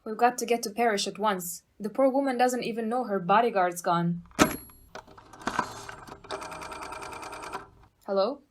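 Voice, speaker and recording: Audio play inspector played by a woman: medium pitch, excitable, bossy, given to blustering exclamations. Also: a little fast, background noise, lightly acted. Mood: urgent.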